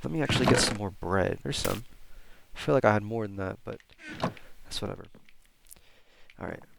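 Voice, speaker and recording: A man's voice: lowish voice, a little fast, creaky, very noisy. A congested young man with a cold, chatting casually over his gameplay and rambling with fillers and restarts. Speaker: gaming YouTuber. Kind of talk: casual Let's Play commentary.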